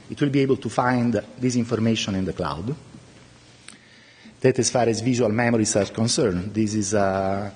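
A middle-aged man whose first language is Italian, giving a conference talk from a podium with many halting pauses. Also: mid-range voice, slightly rough, long reverb tail. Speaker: conference speaker. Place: large lecture room at a podium.

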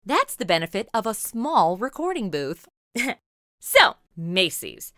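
A woman's voice: medium pitch, big pitch swings, slow pace, a bit bright, dry recording, studio quality. A woman hosting a podcast interview segment, putting questions to a guest. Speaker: podcast host. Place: small recording booth.

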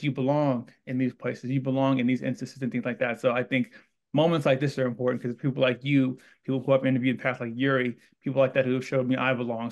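Fast-talking Texan man hosting. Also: medium pitch, dull audio. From Texas. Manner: enthusiastic, effusive and rambling. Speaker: host. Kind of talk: interview hosting. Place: indoor studio room with wall art. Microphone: studio mic on boom arm.

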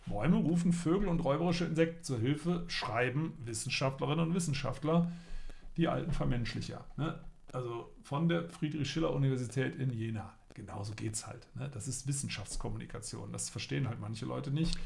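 A man's voice: medium pitch, brisk, vocal fry, darkish tone. A middle-aged man co-hosting a casual live stream, chatting and joking while reading headlines aloud. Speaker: co-host. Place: indoor room, seen on video.

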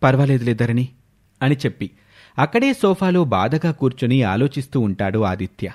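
A man delivering scripted narration as the narrator of an animated moral story. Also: mid-range voice, moderate pace.

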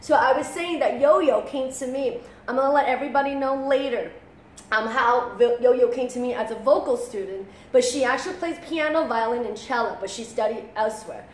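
A chatty woman voice teacher in her thirties runs a casual live stream from a living-room sofa. She switches between Mandarin and English and talks in an animated way. Her voice sits fairly high, and she is picked up by a distant webcam in an echoey room with faint background noise. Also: moderate pace. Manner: chatty, animated, often translates herself and laughs. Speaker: voice teacher hosting a live show. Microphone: fixed webcam at a distance.

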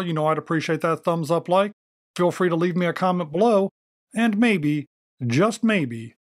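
A man's voice: on the high side, dry recording. An American man hosting a video, casually narrating a demonstration on a close microphone. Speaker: video host. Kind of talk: casual narration of a thrift find demo.

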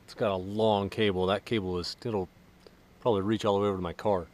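A man in his thirties giving a relaxed, casual walkthrough of equipment outdoors in a wooded yard, filming himself. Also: on the low side, fast. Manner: relaxed, explanatory, joking. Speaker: vlogger.

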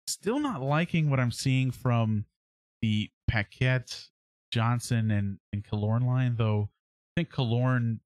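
A man in his thirties hosting a sports podcast, giving casual analysis in a halting way as he thinks aloud. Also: medium pitch, darkish tone. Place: small indoor room at home, on a livestream webcam.

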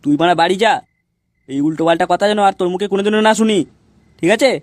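A man's voice: high-pitched, racing, natural voice. A man voicing a brash, foul-mouthed young friend in a comedy cartoon, slangy and bossy. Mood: scolding. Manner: slangy, scolding.

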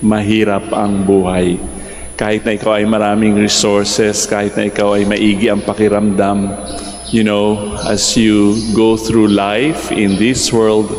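A man's voice: on the low side, level tone, slow pace, a little mellow, a little hiss or hum. An older man with a Filipino accent preaching a homily in a large church, switching between Tagalog and English and asking his listeners rhetorical questions. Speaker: priest giving a homily. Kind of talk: sermon. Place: large church, in front of the altar. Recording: echoey church hall reverb.

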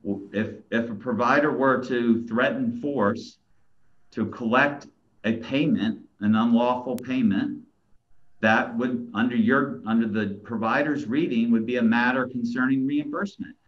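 A middle-aged man arguing an appeal as an attorney, stumbling over restarts before laying out measured legal points from a small room over a video call. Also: medium pitch. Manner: stammering restarts, then measured legal argument. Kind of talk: courtroom oral argument. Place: small plain room, indoors. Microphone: video call webcam. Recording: dull, lo-fi video call audio.

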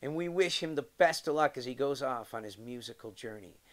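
A man's voice: mid-range voice, lively intonation, a little fast, a bit bright. A middle-aged man with an American accent hosting an interview show, presenting emphatically like a showman. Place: wooden sunroom indoors.

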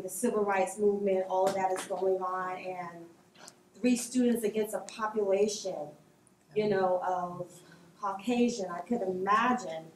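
A woman's voice: mid-range voice, slight background noise. A woman from Polk County, Florida, speaking thoughtfully on a panel in a large meeting room. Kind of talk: panel discussion. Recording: echoey room from far off.

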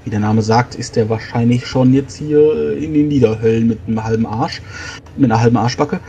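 Male role-player, soft dark tone, rambling explainer, noisy home voice chat. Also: mid-range voice, brisk. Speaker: tabletop role-playing player. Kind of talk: in-character planning talk, improvised.

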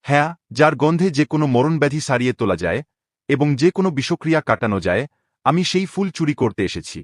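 A man plays a young prince in disguise in a cartoon drama, with a mid-pitched voice in a dry recording. He speaks gravely and formally, respectful yet weighed down. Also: sing-song, brisk, dry recording. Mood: confessing, resolute. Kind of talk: dramatic Bengali animated story dialogue.

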